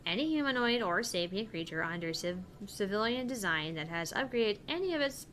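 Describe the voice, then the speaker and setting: A woman's voice: mid-range voice, animated, fairly quick, fairly bright tone, slight background noise, close to an everyday voice. A woman voicing an earnest, precise young woman officer in a formal, matter-of-fact visual novel reading. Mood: earnest, official.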